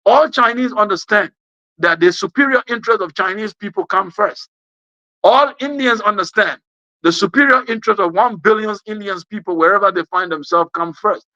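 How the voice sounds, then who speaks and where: A man's voice: high voice, normal speed, sharp tone, dry recording, muffled recording. A man with a West African accent gives an impassioned political speech, emphatic and repeating phrases for effect. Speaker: speaker giving an address.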